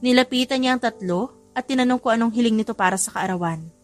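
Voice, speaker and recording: A woman's voice: on the high side, fast talker, faint music behind. A woman with a Filipino accent reading scripted, dramatic story narration.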